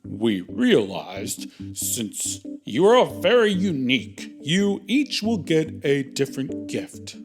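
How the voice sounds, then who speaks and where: A man voicing a kindly, jokey father music note in a children's story, explaining things deliberately. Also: medium pitch, big pitch swings. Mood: earnest, kindly.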